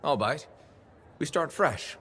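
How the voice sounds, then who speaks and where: A man acting as a steady, plain-spoken freelancer hero in a video game, direct and dryly amused. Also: fairly high pitch, takes it slowly, slight background noise, full character voice. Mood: cautious, probing. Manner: direct, dry, questioning.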